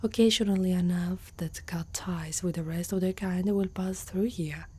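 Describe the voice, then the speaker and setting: A gentle, curious centaur girl, acted by a woman in an ASMR roleplay. Her voice is mellow and soft, often slipping close to a whisper. She talks tenderly and without hurry to a single listener, with a protective air. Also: lowish voice, fairly even intonation, breathy edge. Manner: tender, unhurried, speaking closely to one listener.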